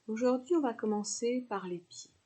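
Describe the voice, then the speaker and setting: A woman teaching yoga nidra, leading a guided relaxation meditation with soothing, unhurried instructions and pauses between phrases. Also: on the high side, warm tone, husky.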